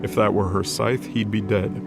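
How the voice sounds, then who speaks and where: A man's voice: lowish voice, fairly even intonation, normal speed, creaky. A man reading scripted, dramatic story narration as the narrator of an audio drama. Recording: music under the voice. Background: music.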